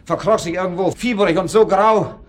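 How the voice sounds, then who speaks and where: An actor playing a worldly, condescending club man in a radio drama, rambling on and breaking off to hush his dog. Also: high-pitched, moderate pace, close to an everyday voice. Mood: disparaging. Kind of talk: naturalistic German radio drama acting.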